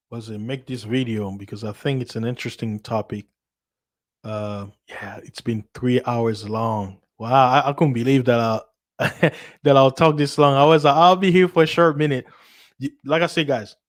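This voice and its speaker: A man from Central Africa whose first language is Lingala, talking to a livestream audience in a casual, emphatic monologue full of repetition, restarts and rhetorical questions. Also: medium pitch. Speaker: livestream host.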